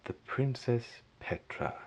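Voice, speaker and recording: An actor playing a wicked, mocking sorcerer villain in an audio drama, with a taunting, theatrical delivery. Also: male voice, a little mellow, muffled recording, natural voice. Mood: smug. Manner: taunting, theatrical, drawn-out delivery with sinister chuckles. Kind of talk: fan audio drama acting.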